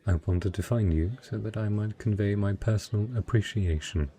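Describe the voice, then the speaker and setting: A man playing a wealthy, suave and possessive merchant patron in a romantic fantasy audio roleplay, speaking in a courtly, husky voice straight to the listener. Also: lowish voice, moderate pace, warm tone, close to an everyday voice. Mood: courteous, intrigued. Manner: courtly, formal, smooth-talking flirtation.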